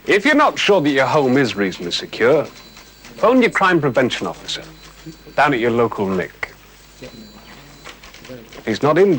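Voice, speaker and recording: A man's voice: medium pitch, a bit bright. A man with a British accent giving colloquial, matter-of-fact scripted advice in a 1970s television film. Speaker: crime prevention film presenter. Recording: faintly noisy 1970s television soundtrack.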